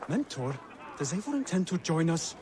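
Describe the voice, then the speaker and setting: A man's voice: on the high side, sing-song, moderate pace, noisy background. An actor playing an earnest, disapproving young acolyte of an assassin order in a video game, stiff and formal in his speech. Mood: doubtful. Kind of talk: video game cutscene acting.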